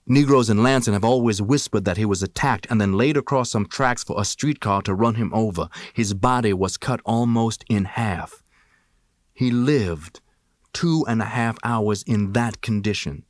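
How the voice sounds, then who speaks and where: Low-voiced man reading a memoir. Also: no echo, studio quality. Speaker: audiobook reader. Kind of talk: first-person memoir narration, scripted.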